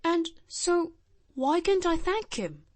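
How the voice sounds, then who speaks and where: Lady putting on a solemn little boy voice, high and bouncy, blunt and shy, dry close sound. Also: sing-song, takes it slowly, dry recording, full character voice. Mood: earnest. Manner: grave, blunt, shy childlike earnestness. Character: earnest solemn little boy, nearly ten.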